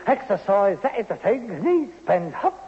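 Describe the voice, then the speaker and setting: An actor playing a pompous, self-pitying grown son with a London accent and mock-grand airs, declaiming melodramatically in an old British radio sitcom. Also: male voice, sits high, sing-song, normal speed. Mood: scornful, lamenting. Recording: muffled old radio recording, hiss, long reverb.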